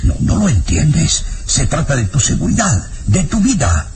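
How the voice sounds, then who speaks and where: An actor with a Mexican accent playing a fearful, secretive elderly professor in an old radio serial, halting and breathy. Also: male voice, a little high, wildly swooping pitch, heavy background noise. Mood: urgent, frightened. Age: older. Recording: old radio serial with loud background music.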